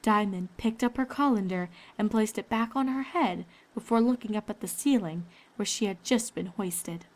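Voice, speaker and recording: A woman's voice: mid-range voice, moderate pace, few pauses, a little hiss or hum. Woman narrating a scripted fan story.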